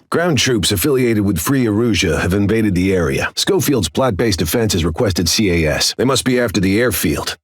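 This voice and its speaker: An actor playing a stern, composed air force commander, giving a formal, measured mission briefing in a video game with an authoritative delivery. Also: male voice, on the low side, no echo, studio quality. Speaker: military briefing officer.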